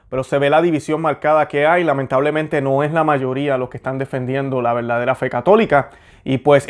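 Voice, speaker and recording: Earnest man hosting a faith show. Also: fairly high pitch. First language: Spanish. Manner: earnest, conversational, with many fillers. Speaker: host. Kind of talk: religious commentary and prayer.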